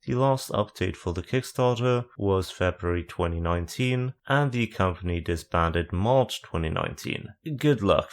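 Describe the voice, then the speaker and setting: Dry, low-voiced man narrating commentary. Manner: dry, sarcastic asides. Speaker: video essay host. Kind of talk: scripted voice-over commentary.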